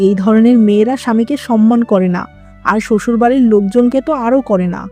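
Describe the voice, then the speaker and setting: A woman as a scolding, mellow-voiced mother-in-law. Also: on the high side, quick pace, faint music behind, lightly acted. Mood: firm, disapproving. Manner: scolding, lamenting. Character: scolding, traditional, lamenting middle-aged mother-in-law. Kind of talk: dramatic cartoon dialogue.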